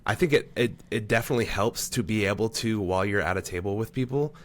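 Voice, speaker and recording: A man's voice: on the low side, fast, a little hiss or hum. A man co-hosting a casual tabletop gaming discussion, explaining his point at length with hedges and fillers. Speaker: podcast co-host and player.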